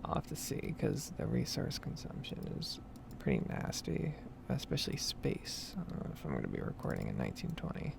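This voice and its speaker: A woman speaking in a soft, breathy whisper, rambling casually in an ASMR gameplay style, close to the mic with typing and clicking behind her. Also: extremely low pitch, wildly swooping pitch, moderate pace, background noise. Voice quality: breathy, soft-spoken whisper with heavy vocal fry. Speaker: gaming streamer and ASMR creator.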